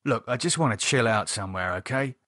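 Man as reluctant British man, plain-spoken, weary, hesitant politeness, medium pitch, storybook character. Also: animated, a little fast, bright, forceful tone, dry recording, clean studio sound. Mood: fed up. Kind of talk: audiobook character voice.

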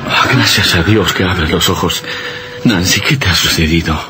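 A man's voice: low-pitched, normal speed, loud music behind the voice, close to an everyday voice. A man playing a worried, protective doctor husband in a theatrical, earnest radio drama style. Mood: concerned.

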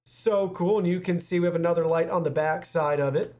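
A man's voice: a little high, brisk, a little mellow. A young man presenting a product review in an upbeat, presenterly way in a small studio. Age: young adult. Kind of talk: scripted product review to camera.

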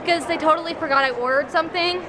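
High-pitched young American woman, noisy mall. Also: fast talker. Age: young adult. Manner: loud, rambling, informal. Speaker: vlogger. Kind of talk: casual chat to camera while walking. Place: large indoor shopping mall, walking. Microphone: handheld camera at arm's length. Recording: noisy, busy shopping mall crowd.

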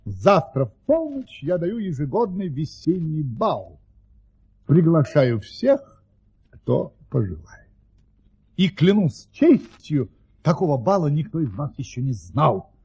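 An actor playing a grand, cheerful baron telling tall tales in a courtly, theatrical radio play manner. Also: male voice, on the high side, sing-song, takes it slowly, a little mellow, husky, exaggerated voice. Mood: gracious, proud. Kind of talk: Soviet radio play acting. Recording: dull lo-fi radio play recording.

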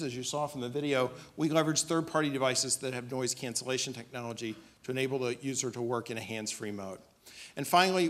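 A man giving a business pitch presentation as a startup presenter, speaking on stage into a podium microphone. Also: fairly bright tone. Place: on stage in a dim auditorium.

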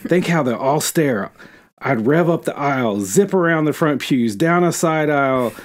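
A man's voice: a little high, lively intonation, normal speed. A man co-hosting a podcast, retelling a book and reading from it aloud. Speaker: podcast co-host.

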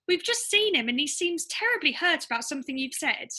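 A woman's voice: high voice, big pitch swings, a little fast, bright, forceful tone, full character voice. A woman playing an earnest, outspoken youngster in a radio pantomime. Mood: concerned.

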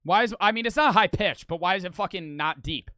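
A young man streaming casually, talking fast and animated with lots of swearing, close to the mic. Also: sits high, no room sound.

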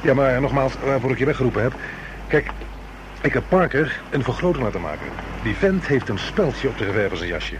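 An actor playing a brisk police detective in a radio drama, reporting in a matter-of-fact, colloquial way. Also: male voice, medium pitch, animated, natural voice. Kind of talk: Dutch radio drama dialogue. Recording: noisy old radio broadcast recording.

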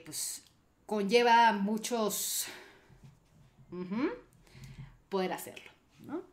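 A young woman from Venezuela with a light Venezuelan accent, hosting a podcast and explaining things thoughtfully, with many fillers, from a small bedroom streaming setup. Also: fairly low pitch. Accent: Venezuelan, softened. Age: young adult. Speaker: podcast host. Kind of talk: casual reaction commentary.

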